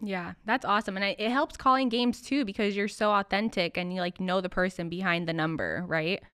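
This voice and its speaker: A woman hosts a casual interview in a crisp, smooth voice at a medium pitch. She is agreeable and affirms what she hears. The recording is dry, with very little room sound. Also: fairly even intonation, brisk, a bit bright, no room sound. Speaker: podcast interviewer.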